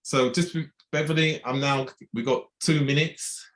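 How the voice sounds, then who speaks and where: A man from the UK hosting an online community event, speaking in a measured way with repeated restarts. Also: medium pitch, fairly even intonation, fairly bright tone. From United Kingdom. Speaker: host.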